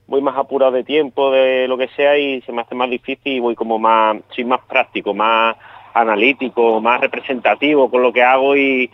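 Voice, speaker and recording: A man's voice: medium pitch, little pitch movement, moderate pace, no room sound, lo-fi sound. A man from El Puerto de Santa María with an Andalusian accent, a painter chatting loosely in a radio interview, with many restarts and fillers. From El Puerto de Santa María, Spain.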